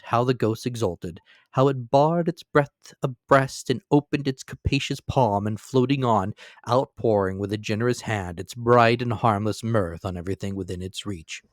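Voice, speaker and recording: A man giving a dramatic, measured reading of a classic novel. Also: on the low side, no echo, clean studio sound. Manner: measured, theatrical storytelling. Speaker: reader.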